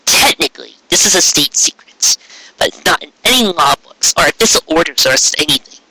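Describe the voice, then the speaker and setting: A man's voice: fairly high pitch, expressive, a little fast, bright, forceful tone, no room sound, full character voice, rough recording. A man voicing a young female unicorn magician who explains herself earnestly and defensively in a story.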